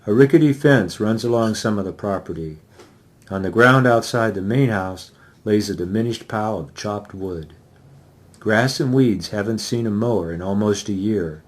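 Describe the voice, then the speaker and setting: Casting: male author-reader for first-person literary fiction, slightly low register. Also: normal speed. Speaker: author reading aloud. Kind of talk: dramatic reading of a first-person novel.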